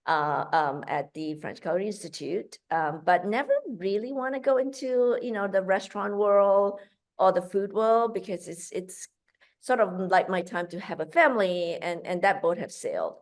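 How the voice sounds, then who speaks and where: Animated Malaysian-born woman, halting speech. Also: medium pitch. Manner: animated, many fillers and restarts. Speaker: guest, founder of a kids' cooking program. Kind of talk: casual interview talk.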